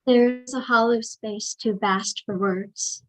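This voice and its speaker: A woman's voice: a little high, fairly even intonation, takes it slowly, a bit bright, rough recording. A woman playing a wistful daughter reliving childhood, speaking lyrical, chant-like lines in a staged play reading. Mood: tender, pleading. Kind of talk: poetic stage monologue.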